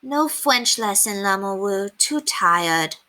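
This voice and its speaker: A woman's voice: mid-range voice, expressive, slow pace, pushed and bright. A woman voicing small wild children in a storybook, chanting and pleading in simple broken words. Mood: sleepy pleading.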